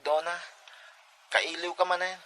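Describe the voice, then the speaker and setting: A man's voice: high voice, measured pace, a crowd in the background. A man voicing a teenage boyfriend in an audio drama, stammering and evasive. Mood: sweet. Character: teenage boy Brian, Donna's boyfriend. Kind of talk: amateur audio drama acting.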